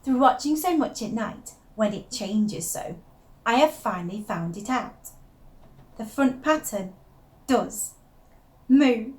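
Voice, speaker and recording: A woman's voice: a little high, lively intonation, slow pace, long pauses. A woman playing an unravelling, obsessive young wife who confides her secrets in a theatrical, intense first-person monologue. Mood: agitated, marvelling. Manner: confiding, intense, theatrical, with shifts from hushed to emphatic.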